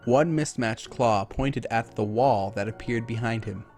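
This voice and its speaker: A man's voice: mid-range voice, a little slow, a little mellow. A man narrating a story in a dramatic reading style. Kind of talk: dramatic reading of fan fiction. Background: music.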